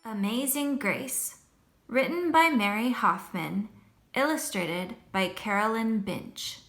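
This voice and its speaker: A middle-aged woman reading a picture book aloud to children in an expressive, deliberate storytelling manner. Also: mid-range voice, creaky.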